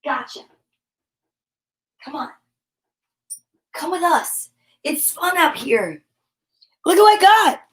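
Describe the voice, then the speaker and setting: A woman hosting an online story time, speaking in a playful, expressive way as if chatting casually with viewers. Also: extremely high pitch, takes it slowly, long pauses. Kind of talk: casual livestream chat with short read-aloud passages.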